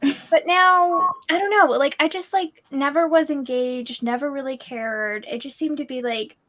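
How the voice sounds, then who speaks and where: A woman in a casual book discussion, giving her opinions as a panelist in a halting, informal way, thinking aloud. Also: high voice, fairly bright tone.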